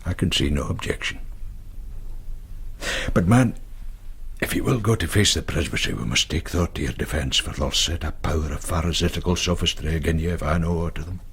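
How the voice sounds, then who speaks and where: An actor playing a frail, kindly and humble Scottish minister in a radio drama, with a gravelly, husky voice. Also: male voice, rumbling low, fairly quick, fairly bright tone, full character voice. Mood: earnest.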